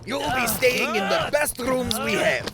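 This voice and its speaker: Theatrical, high-pitched space pirate captain. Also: male voice, a little slow, over a noisy crowd. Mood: gleeful menace. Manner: pompous, chuckling showman. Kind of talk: audio comedy drama acting.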